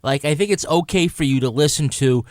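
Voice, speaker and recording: A man's voice: a little high, a little fast, a little mellow, no echo, clean studio sound. A man co-hosting a podcast, talking in a casual, conversational and informal way. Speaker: podcast co-host. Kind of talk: casual panel review and host-read ad banter.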